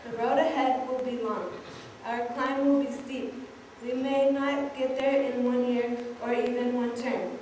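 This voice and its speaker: A woman's voice: a little high, level tone, unhurried, darkish tone. A young woman reading a political speech aloud to a congregation. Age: young adult. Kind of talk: read-aloud political speech at prayer service. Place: large church, indoors. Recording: echoey church hall, far off, faint noise.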